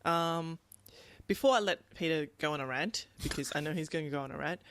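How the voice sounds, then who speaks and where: A woman's voice: sits low, a little fast, fairly bright tone, dry recording. A woman in her thirties co-hosting a podcast in casual chat. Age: 30s. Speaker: podcast co-host.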